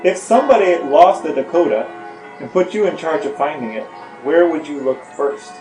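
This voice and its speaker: The sound is roomy and echoey. A man with a slightly high voice plays interviewer, putting playful prepared questions and bantering briefly over the answers. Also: lively intonation, normal speed, over music. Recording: echoey room.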